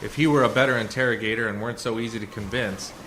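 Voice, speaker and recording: A man playing a calm, assured undercover rescuer in a sci-fi radio play, speaking in a measured, explanatory way. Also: fairly low pitch, natural voice. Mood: reassuring, matter-of-fact. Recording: noisy community radio drama recording.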